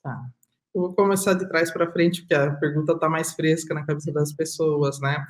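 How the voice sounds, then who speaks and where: A woman's voice: low-pitched, fairly even intonation, moderate pace. A middle-aged woman artist speaking in a panel discussion over a video call, reflective and emphatic, repeating her key phrases. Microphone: video call webcam.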